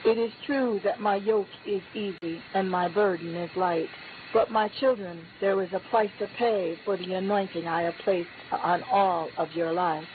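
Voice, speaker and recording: A woman's voice: medium pitch, steady tone, normal speed. Woman, prophetic first-person declarations, solemn repeating cadence, thin telephone sound. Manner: solemn, repetitive declaring cadence, lifting on key phrases. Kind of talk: prophetic utterance spoken as God. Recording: tinny phone-like line with faint hiss.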